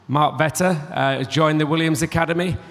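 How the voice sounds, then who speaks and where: A man's voice: on the high side, steady tone, fairly quick, fairly bright tone. A man presenting on stage, introducing the next speaker to students in a loose, encouraging way with fillers. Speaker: event presenter. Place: large hall. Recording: long hall reverb.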